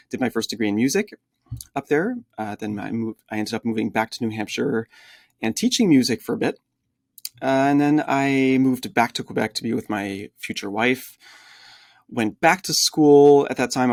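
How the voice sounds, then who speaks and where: Gravelly-voiced man telling his story. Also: medium pitch, no echo. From United States. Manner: animated storyteller, frequent fillers. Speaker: guest, translation agency founder. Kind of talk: conversational podcast interview.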